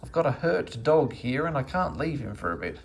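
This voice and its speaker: A man's voice: mid-range voice, big pitch swings, normal speed, vocal fry. A man voicing a worried, busy young woman who works at kennels, in a children's storybook. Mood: harried. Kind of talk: children's storybook read-aloud.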